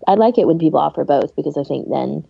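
A woman's voice: low-pitched, lively intonation, quick pace, gravelly, husky, no echo. A woman co-hosting a podcast in a casual, informal chat, giving her opinions freely on a dull recording. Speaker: podcast host.

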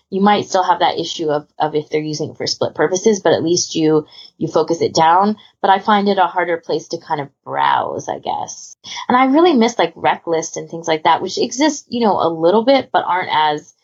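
A woman's voice: deep voice, slightly rough, dull audio. A woman hosting a podcast in casual chat, talking in a rambling, enthusiastic, informal way. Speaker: podcast host. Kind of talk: casual chat about hobby organisation.

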